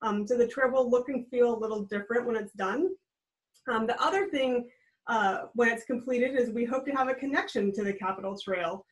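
A woman's voice: on the high side, fairly quick, low-quality recording. Upbeat American woman on a video call. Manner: friendly, explanatory, upbeat. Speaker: guest, regional trail program director. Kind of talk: casual video-call interview. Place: indoors at home, small room. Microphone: webcam on a video call.

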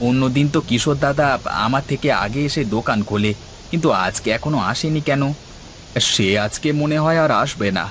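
A man's voice: mid-range voice, fairly quick, fairly bright tone, slight background noise, close to an everyday voice. A man playing an anxious villager in a fairy tale cartoon. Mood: puzzled.

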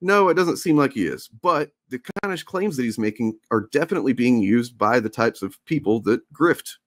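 A man's voice: mid-range voice, animated, dry recording, studio quality. A man hosting a casual livestream, ranting bluntly and swearing freely. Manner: blunt, swearing, rapid rants. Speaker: livestream host.

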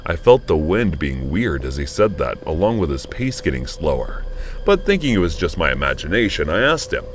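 A man narrating a first-person horror story in a studio voice-over, jumping up when indignant and going low and hushed in tense moments, over background music. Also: moderate pace, a little hiss or hum. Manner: expressive, pitch jumps up on indignant lines, drops low and quiet in tense moments.

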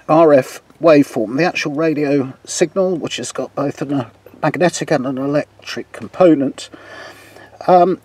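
An older man presenting an informal hands-on tutorial outdoors, explaining in a halting way with hesitant pauses. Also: on the high side, darkish tone, husky, dry recording. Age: older, about 60s. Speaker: presenter of a radio demonstration. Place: outdoors in a garden beside a field.

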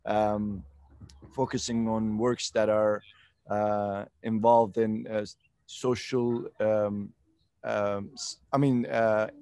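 A man in his thirties from eastern Turkey, a film director answering interview questions on a video call, earnest and pausing to search for words. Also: on the low side, flat delivery, darkish tone, smooth, no echo. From eastern Turkey, Kurdish region. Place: outdoors in a sunny garden. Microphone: video call laptop mic.

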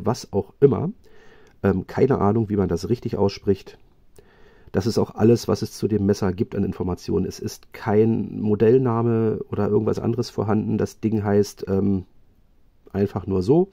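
A man reviewing knives in a chatty, casual way, often pausing with fillers and restarting his sentences. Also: lowish voice, vocal fry, dark tone, no room sound. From Germany. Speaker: knife reviewer. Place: indoors at a table, hands-only video.

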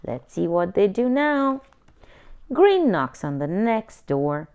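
A woman's voice: medium pitch, sing-song, slow pace, a little mellow, smooth. A woman hosting her own channel, reading a children's picture book aloud at a table with playful character voices. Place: indoors at a table, hands over a book.

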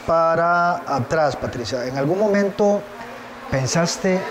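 A man's voice: on the high side, animated, slow pace, noisy background. A man hosting a TV studio interview, asking questions conversationally on a lapel mic. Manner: conversational, prompting with dates and facts. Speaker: TV show host. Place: TV studio with stone backdrop.

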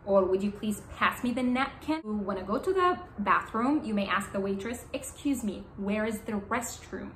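Young woman teaching English slowly, roomy sound. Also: mid-range voice, lively intonation. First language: Arabic. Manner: slow, clear, repeats key phrases. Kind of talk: English lesson to camera. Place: small bright indoor room. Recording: roomy indoor sound with faint room hum.

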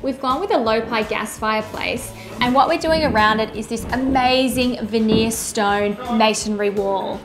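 A woman's voice: on the high side, normal speed, hardly any pauses, music in the background. A woman, a renovation designer, explaining design choices in an upbeat way. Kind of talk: casual chat and piece to camera.

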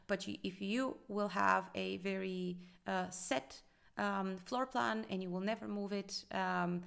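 A woman's voice: mid-range voice, gravelly, clear, resonant tone. A woman in her thirties giving casual commentary as a restaurant consultant, thoughtful and full of fillers, recorded at a desk. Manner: hesitant, many fillers, thoughtful. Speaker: restaurant consultant reviewing a design.

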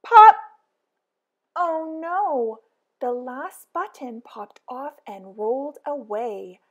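Woman, picture book read-aloud, high voice, lively sing-song, very slow. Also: dull audio. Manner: slow, sing-song and exaggerated, with big excited exclamations. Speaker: storybook reader.

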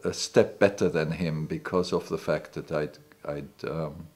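A man's voice: a bass voice, fairly even intonation, moderate pace, a little mellow. A man in his sixties, not a native English speaker, recalling the past in a seated interview in a living room, hesitant with many restarts. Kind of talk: reflective recollection. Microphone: off-camera, seated interview.

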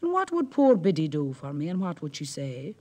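A man voicing an excitable, nervous young fisherman who exclaims and frets. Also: fairly high pitch, a little fast. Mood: excited. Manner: exclaiming, fretful.

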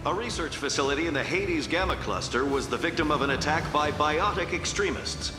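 Man as echoing news announcer, higher-pitched. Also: lively intonation, a little fast, close to an everyday voice. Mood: newsy. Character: formal male news announcer of a futuristic broadcast. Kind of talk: scripted news bulletin in a video game. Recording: echoey long reverb, music behind.

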